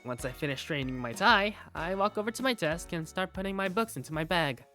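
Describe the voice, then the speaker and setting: A woman's voice: lowish voice, lively intonation, a little fast, fairly bright tone, faint music behind. A woman narrating an amateur visual novel in the first person as its lead character, with a wry, conversational manner.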